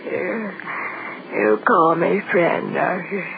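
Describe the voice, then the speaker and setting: An actress playing a dying woman of eighty-four in a 1930s radio drama, tired and pleading in a weak, halting voice. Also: lowish voice, creaky. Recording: noisy tinny 1930s radio recording.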